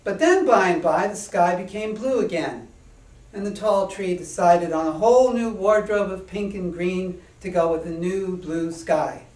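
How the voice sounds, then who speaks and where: A man reading a children's story from a stage in a deliberate, whimsical, rhythmic way. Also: sits high, a little mellow. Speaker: author reading own story. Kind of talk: children's storytelling to a live audience. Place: small indoor stage before an audience. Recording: echoey room, distant microphone.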